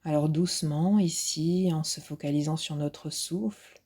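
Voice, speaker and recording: A woman instructor leading a guided relaxation meditation in a soft, soothing, unhurried way with pauses, with music behind her. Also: low voice, breathy edge. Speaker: yoga nidra instructor.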